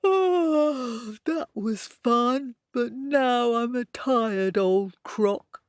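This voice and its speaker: A woman's voice: a little high, very slow, no room sound, clean studio sound, pitched above the performer's own voice. A woman voicing a tired old male crocodile, weary and drawn out.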